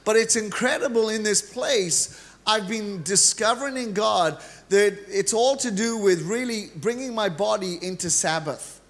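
A middle-aged Welsh man preaching a lively, joking sermon from a large church stage into a handheld mic. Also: high voice, measured pace. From Wales. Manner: energetic, joking preacher, gestures freely while pacing the stage. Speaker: guest preacher.

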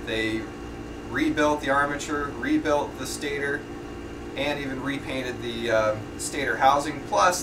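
A man in his thirties talks plainly through a do-it-yourself repair on his boat, wearing a head-mounted camera. His voice sits at an ordinary middle pitch. A clear steady hum of machinery fills the cramped engine room around him. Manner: plain, matter-of-fact explaining while working. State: sweating and out of breath. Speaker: boat owner showing a repair. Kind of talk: casual DIY narration.

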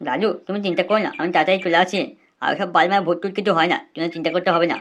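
A woman's voice: lowish voice, racing, lightly acted. A woman voicing a cheeky, whiny young boy in a comic cartoon, grumbling and easily frightened. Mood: cocky, dismissive. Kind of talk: comic cartoon dubbing in colloquial Bengali.

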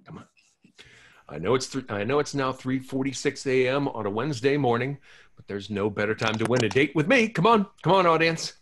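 Man playing a dorky, animated lovelorn radio host. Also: medium pitch, brisk, slight background noise, natural voice. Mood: eager, hopeful. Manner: eager, pleading. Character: dorky, eager, hopelessly romantic 45-year-old male radio host. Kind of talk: comedy script table read, playing a radio host.

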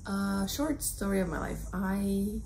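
A woman's voice: medium pitch, unhurried, vocal fry, darkish tone, a little hiss or hum. A woman in her thirties with a Russian accent, hosting a crafting podcast in a friendly chatty way, recorded indoors at home on the camera mic. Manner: friendly, chatty, gestures with both hands. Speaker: podcast host. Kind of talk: casual chat to camera. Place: indoors, small home room.

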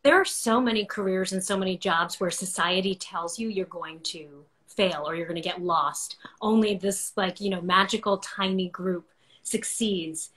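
Bright-voiced woman hosting an interview. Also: medium pitch, moderate pace, poor audio. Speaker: interview host. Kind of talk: conversational interview. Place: indoor home room with bookshelves, video call.

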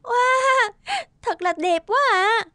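A woman's voice: very high-pitched, slow pace, pushed and bright, no echo, exaggerated voice. A woman voicing a vain, scheming young maidservant who poses as a princess in a cartoon fairy tale, haughty and falsely sweet. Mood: gushing sweetly. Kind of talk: animated fairy-tale character acting. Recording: music under the voice.

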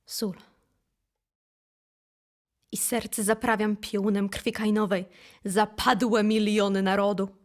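A woman reciting poetry for an audio drama in an intense, solemn, deliberate manner. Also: a little high. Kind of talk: dramatic poetry recitation, from whisper to impassioned cries. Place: recording studio.

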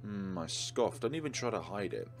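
A man's voice: on the low side, expressive, normal speed. A man hosting a let's play, reading game text aloud and commenting on it. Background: game music.

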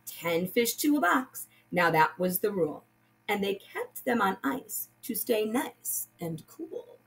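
A woman's voice: mid-range voice, very animated, slow. A woman teaching young children, patiently explaining step by step and reading aloud like a primary school teacher. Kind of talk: children's lesson and picture book read-aloud.

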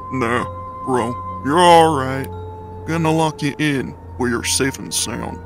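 A man voicing a laid-back, protective eighteen-year-old skeleton big brother in a comic dub, with a gravelly voice and casual drawl. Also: mid-range voice, slow pace, music in the background. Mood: laid-back, teasing. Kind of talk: dramatic comic dub acting.

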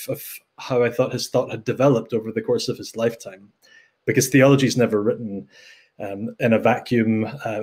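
A man's voice: mid-range voice, slightly rough. A middle-aged Scottish man answering interview questions in a thoughtful, explanatory way, with frequent ums and restarts. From Scotland. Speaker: guest theologian.

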